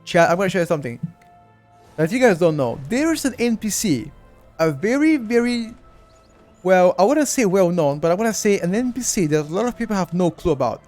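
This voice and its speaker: Bulgarian guy streaming a game guide, high voice, chatty, keeps talking to chat. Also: sing-song, a little fast, darkish tone, soft background music. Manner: chatty, addresses chat directly, repeats himself. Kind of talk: live-streamed gameplay walkthrough.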